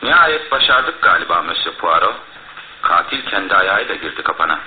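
Pes sesli, resmi polis müfettişi. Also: male voice, low-pitched, very animated, brisk. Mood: brisk, official. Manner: matter-of-fact, official. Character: businesslike, courteous male police inspector. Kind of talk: radio drama acting. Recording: tinny, noisy old radio recording.

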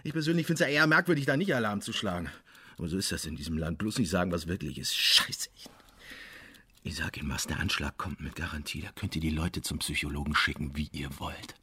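A male detective in his thirties in a radio drama. His husky, fairly deep voice swings widely in pitch as he pushes back, bitter, dismissive and sarcastic. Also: animated, fast, bright, forceful tone, exaggerated voice. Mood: agitated, bitter. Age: 30s. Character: defensive, bitter, sarcastic male police detective under suspicion. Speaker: police detective. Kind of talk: naturalistic radio drama dialogue.

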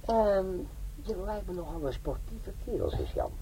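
An actor playing a cocky, chatty bomb-disposal sergeant of middle age, with darkly joking teasing, in a Dutch comic radio drama. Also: male voice, sits high, wildly swooping pitch, moderate pace, gravelly, dark tone, a little hiss or hum, heavily acted, low-quality recording.

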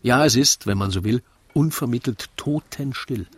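An actor playing a wry storyteller who narrates a rhymed radio play with dramatic, drawn-out suspense. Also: male voice, mid-range voice, close to an everyday voice.

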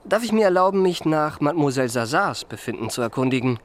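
An actor playing a courteous, discreet young gentleman confidant in a German radio play, speaking with formal, measured politeness. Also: on the high side, lively intonation, natural voice. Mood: courteous, reserved. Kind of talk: German radio drama acting.

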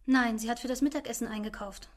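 An actress playing an earnest, expressive young daughter, modest and easily upset, in a radio play. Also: female voice, fairly high pitch, fast, close to an everyday voice. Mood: puzzled. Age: young adult.

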